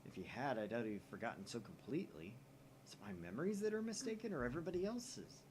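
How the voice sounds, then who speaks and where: A man's voice: mid-range voice, animated, fast, darkish tone, slight background noise. A man hosting a let's play, reading a visual novel's narration aloud with casual, joking commentary.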